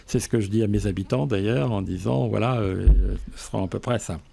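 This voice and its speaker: An older man from France answering political interview questions as a local official, speaking haltingly and repeating words. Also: on the low side, vocal fry, mellow.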